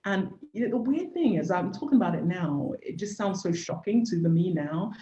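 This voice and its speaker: Mellow-voiced woman telling her story. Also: fairly low pitch, expressive, fairly quick. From Nigeria. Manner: earnest, candid storyteller with repeated "literally". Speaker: podcast guest, business founder. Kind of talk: personal story in a podcast interview. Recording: echoey room, dull lo-fi sound.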